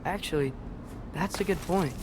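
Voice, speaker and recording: A man's voice: a little high, normal speed, natural voice. A male actor playing an earnest, stubborn teenage boy hero in a video game, casual and headstrong. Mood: musing. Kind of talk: video game dialogue acting. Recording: noisy game ambience behind voice.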